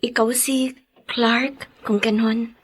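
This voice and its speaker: High-pitched, earnest young sweetheart. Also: female voice, steady tone, slow, breathy edge. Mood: anxious. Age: young adult. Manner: pleading, emotional. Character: anxious pregnant young woman, devoted and trusting sweetheart. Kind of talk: Filipino radio drama acting.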